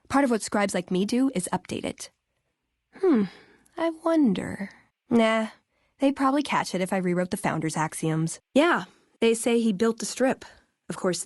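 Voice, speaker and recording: A woman's voice: medium pitch, no room sound, clean studio sound. An American woman playing a wry, earnest young scribe in a video game, speaking in a conversational way. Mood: wry, casual. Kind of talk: video game character dialogue.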